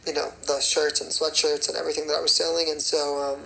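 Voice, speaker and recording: A raspy young man, a singer and choreographer, chatting casually on a phone livestream and rambling with many fillers. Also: fairly high pitch, level tone, quick pace, sharp tone, rough recording. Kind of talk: casual livestream chat answering comments.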